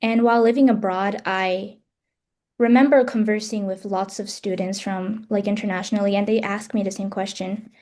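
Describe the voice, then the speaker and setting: A woman's voice: mid-range voice, steady tone, normal speed, a little mellow. A young woman from the United States answering panel questions on a video call, hesitant, with many fillers and restarts. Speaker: student presenter. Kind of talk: online panel Q&A.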